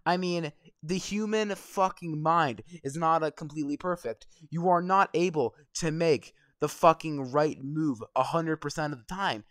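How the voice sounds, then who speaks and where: Young male gamer, slightly high voice, hoarse and angry, swearing nonstop over a dry close mic. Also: moderate pace, few pauses, a bit bright, no echo. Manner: angry, swearing constantly, rambling. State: voice going hoarse. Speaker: gaming vlogger. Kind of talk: profane ranting commentary over gameplay.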